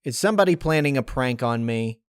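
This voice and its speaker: A man's voice: mid-range voice, lively intonation, brisk, clean tone, no room sound, clean studio sound, lightly acted. A man voicing a casual, bemused young tomboyish female pegasus pony in a story reading. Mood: confused, casual. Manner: casual, questioning.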